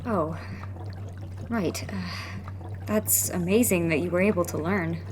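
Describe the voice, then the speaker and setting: A woman's voice: lowish voice, noisy background, lightly acted. Brusque, edgy young rebel woman, a woman acting. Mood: dry. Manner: brusque, quick to panic. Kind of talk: audio drama acting.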